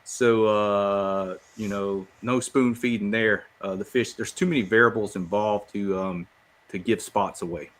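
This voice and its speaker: A man chatting casually on a podcast in a plain-spoken, halting way. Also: lowish voice, steady tone.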